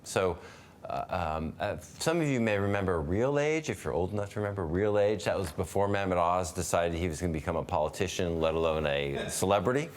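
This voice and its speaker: A man's voice: sits low, fairly quick. A man in his fifties hosting a webinar over a video call, thinking aloud with restarts and asking questions. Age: 50s. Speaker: webinar host. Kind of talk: conversational interview.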